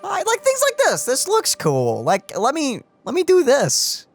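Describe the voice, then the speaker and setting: A man's voice: very high-pitched, huge pitch swings, a little slow, vocal fry, soft background music. A man hosting a let's play, chatting casually in a playful, offhand manner. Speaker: let's play host and player. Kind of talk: casual gaming chat.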